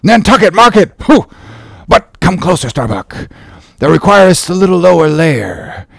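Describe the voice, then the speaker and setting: Man acting a fiery old sea captain, swooping pitch. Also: sing-song, moderate pace, gravelly, no echo, studio quality, full character voice. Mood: brooding intensity. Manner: declamatory, archaic thee-and-thou speech, impassioned. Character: vengeful old whaling captain, fiery, grandiose, obsessed. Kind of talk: dramatic classic novel reading.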